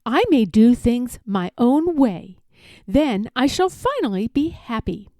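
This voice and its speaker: A woman's voice: fairly high pitch, very animated, slow, dark tone, no room sound, studio quality, heavily acted. A woman voicing an imperious, critical young queen and mother in a storybook, regal and stern. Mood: self-satisfied. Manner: regal, declaring, questioning sternly.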